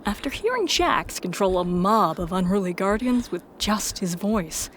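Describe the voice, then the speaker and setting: A woman's voice: medium pitch, big pitch swings, moderate pace, a bit bright, slight background noise. A woman reading scripted first-person story narration as the narrator and protagonist of an audio drama.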